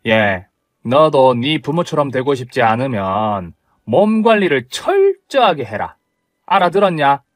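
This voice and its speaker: A man's voice: medium pitch, no room sound, studio quality, natural voice. A man voicing a blunt, sarcastic older mother-in-law with a Gyeongsang accent, scolding and mocking. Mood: contemptuous. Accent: Gyeongsang dialect.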